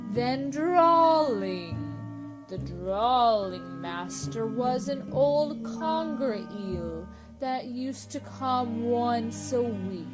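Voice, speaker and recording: Picture a woman acting a sad, easily offended turtle telling a tale in a radio play. The delivery drags very slowly and ponderously, a little high in pitch. Music runs underneath. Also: animated. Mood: wistful, ponderous. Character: melancholy, touchy, ponderous old Mock Turtle.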